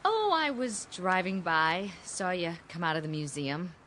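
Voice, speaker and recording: Woman playing a blunt, animated, pushy sister. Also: fairly low pitch, a little slow, slight background noise. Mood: casual. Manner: frank, insistent, emphatic. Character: blunt, pushy, worried sister of a shy man. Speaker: actor in a radio play. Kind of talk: radio drama acting.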